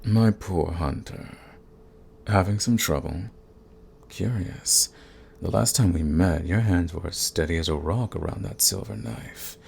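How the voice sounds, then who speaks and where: An actor playing a suave, sadistic vampire lord in an ASMR roleplay, with a theatrical, silky, condescending manner and a husky, gravelly voice. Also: male voice, sits low, darkish tone, a little hiss or hum. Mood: mock sympathy. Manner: theatrical, silky, condescending endearments, drawn-out phrasing. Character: suave sadistic male vampire lord, predatory, mocking, possessive.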